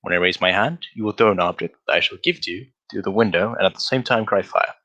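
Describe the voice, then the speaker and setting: An actor playing a brisk, self-assured gentleman detective in an old-style radio drama, with precise, formal diction. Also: sits low, highs cut off. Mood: brisk, commanding. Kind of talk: 1940s radio drama acting.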